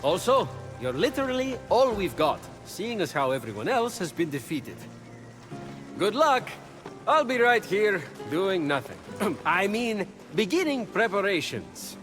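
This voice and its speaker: A man's voice: sits high, very animated, unhurried, full character voice. An actor playing a glib, cheeky trickster god in a video game, with flippant, wry salesman patter. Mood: flippant, encouraging. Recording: loud game music behind voice.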